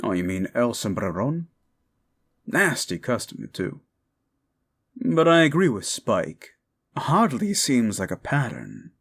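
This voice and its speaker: A man's voice: medium pitch, wildly swooping pitch, takes it slowly, vocal fry, long pauses, fairly bright tone, breathy edge, heavily acted. A man acting a confident, scholarly folklorist who explains things with assurance in an audio drama. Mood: matter-of-fact.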